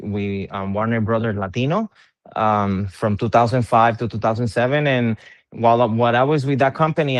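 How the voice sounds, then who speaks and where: A man's voice: mid-range voice, dry recording. A middle-aged man from Puerto Rico, an interviewed musician, talking in a casual conversation, hesitant and full of fillers and repeated words.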